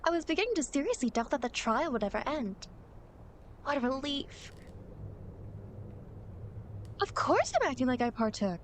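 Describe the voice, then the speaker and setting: Demon girl guide for ASMR roleplay, played by a woman: high voice, smug, playful, theatrical teasing, some ambient noise behind. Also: very animated, fairly quick. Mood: mock-exasperated. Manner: theatrical, teasing, mock-grand phrasing. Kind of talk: ASMR roleplay, acted monologue to the listener.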